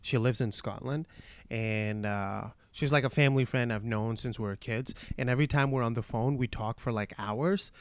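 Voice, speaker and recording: A man's voice: medium pitch, normal speed, clean tone, dry recording. A man from Pakistan with a mostly American accent in his second-language English. He talks casually and agreeably, spinning personal anecdotes as a podcast guest. The recording is close and dry. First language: non-native English, learned as second language. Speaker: comedian guest.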